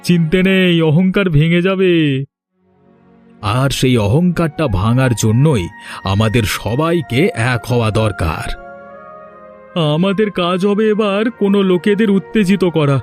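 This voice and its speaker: Man acting emphatic higher-voiced cartoon villager, music behind. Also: animated, normal speed, a little mellow. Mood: scheming, eager. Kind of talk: dramatic Bengali cartoon voice acting. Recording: music under the voice.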